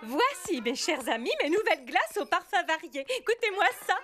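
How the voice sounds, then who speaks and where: Cartoon cat shopkeeper: chirpy, welcoming, very high and animated, music behind. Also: female voice, normal speed. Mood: proud, welcoming. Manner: showy, welcoming. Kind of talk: French cartoon dub acting. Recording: music under the voice.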